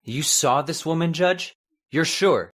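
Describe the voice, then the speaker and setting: A man's voice: a little high, slow, fairly bright tone. Anxious, doubting husband male actor, old-time radio horror; earnest, urgent pleading, nervous stammer. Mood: concerned. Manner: earnest, insistent, stammering when shaken. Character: Paul Seaton, anxious, sceptical husband.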